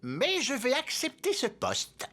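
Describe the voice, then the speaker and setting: Vain dimwit cartoon bad guy, swoopy voice, slow talker, music behind. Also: very high voice, huge pitch swings, pushed and bright, heavily acted. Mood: hesitant, put-upon. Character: vain, dim male villain made company president, would-be mayor. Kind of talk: French-dubbed cartoon acting.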